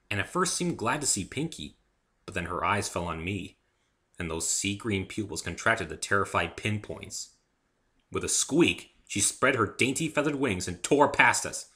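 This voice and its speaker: Very bright, animated male story reader. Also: on the low side. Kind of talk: first-person scripted narration, dramatic reading.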